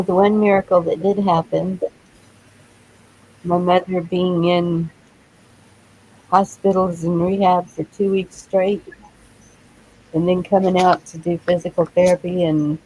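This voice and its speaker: A woman speaks very slowly in a mellow voice. She chats casually and haltingly, letting thoughts trail off. Some ambient noise sits behind her. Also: lowish voice, level tone, poor audio. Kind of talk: casual chat.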